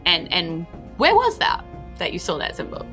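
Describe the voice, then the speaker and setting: A woman, improvising narration as game master of a tabletop game podcast in a chatty, excitable, emphatic way. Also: lowish voice, moderate pace, soft background music. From New Zealand.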